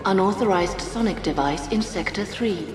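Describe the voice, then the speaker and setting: A woman's voice: medium pitch, normal speed, heavy background noise, lightly acted. A woman voicing an impassive computer announcer in a video game, clipped and impersonal. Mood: impersonal warning. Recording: echoing hall, very loud music.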